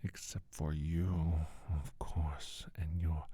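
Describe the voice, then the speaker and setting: A man playing a menacing, scornful alien scientist in a soft-spoken ASMR roleplay, speaking slowly and deliberately in a breathy, raspy whisper. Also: rumbling low, little pitch movement, heavily acted. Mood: ominous, measured. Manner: slow, deliberate, condescending.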